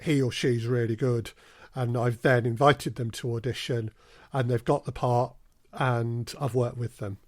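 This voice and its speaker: Male podcast guest, medium pitch, close dry sound, conversational and hesitant, thinking through his answers. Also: fairly even intonation, a little slow, hardly any pauses, dry recording. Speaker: podcast guest, audio drama producer and casting director. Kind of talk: casual interview conversation.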